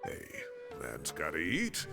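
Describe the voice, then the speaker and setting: An actor playing a brash, defensive old scholar in a video game, theatrical and wheedling. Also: male voice, extremely low pitch, huge pitch swings, slow pace, creaky, music in the background, exaggerated voice. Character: brash old scholar, blustering self-styled hero.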